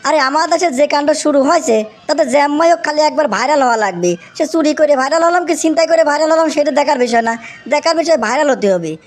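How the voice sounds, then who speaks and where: A man with a Bangladeshi accent voicing an excitable young cartoon bachelor desperate for fame, whiny and pleading, repeating himself emphatically. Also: extremely high pitch, fast. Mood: excited scheming. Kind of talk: exaggerated comic cartoon acting.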